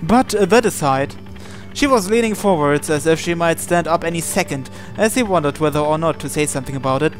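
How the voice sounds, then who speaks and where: A man with a fairly high voice reads story narration from a game aloud, slipping into casual asides as a channel host. Game music plays behind him. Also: fairly quick.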